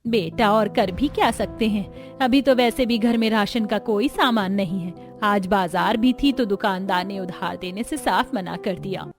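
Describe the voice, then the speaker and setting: A woman's voice: mid-range voice, a little slow, few pauses, soft background music, close to an everyday voice. A woman voicing a poor, timid middle-aged mother in a cartoon story, humble and deferential. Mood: resigned.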